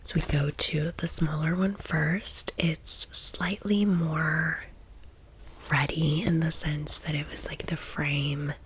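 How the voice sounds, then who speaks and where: A woman's voice: deep voice, fairly even intonation, slow pace, a little hiss or hum, rough recording. A woman in her thirties whispering a casual ASMR storytime in chatty, slangy millennial phrasing. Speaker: ASMR creator.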